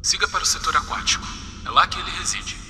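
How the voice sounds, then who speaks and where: A man's voice: sits high, expressive, fast talker, pushed and bright, a little hiss or hum, full character voice. A man voicing a polite, apologetic monster mascot with a dangerous streak in a Brazilian Portuguese horror game dub. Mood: calm, apologetic. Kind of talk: fan-dubbed horror game dialogue. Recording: echoey hall, distant, music behind.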